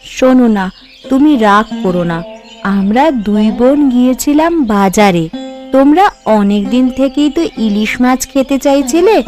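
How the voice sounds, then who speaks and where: A woman plays a young housewife in a family cartoon. Her voice is very high, with an earnest, anxious, explaining manner. Faint music plays underneath. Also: darkish tone, lightly acted. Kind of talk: cartoon voice acting.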